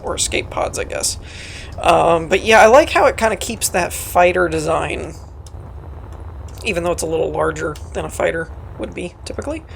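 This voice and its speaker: A woman with a hoarse, raspy voice from a cold, giving casual, rambling gameplay walkthrough commentary as host of her channel, with frequent ums and self-deprecating jokes. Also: medium pitch.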